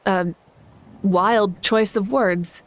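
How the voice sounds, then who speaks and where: A woman's voice: mid-range voice, fairly even intonation, extremely slow, a little hiss or hum. A woman playing a composed, confident leader in a video game, with a wry, teasing manner. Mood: amused, wry. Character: confident, amused, composed adult female leader.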